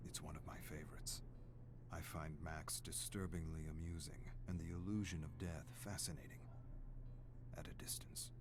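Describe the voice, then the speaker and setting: An actor playing a grave, weary scholarly archivist with a morbid fascination, narrating dark game records in a deliberate, brooding way. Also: male voice, rumbling low, steady tone, background noise. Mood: morbidly fascinated. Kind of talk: dramatic scripted game narration. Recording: clear music behind the voice.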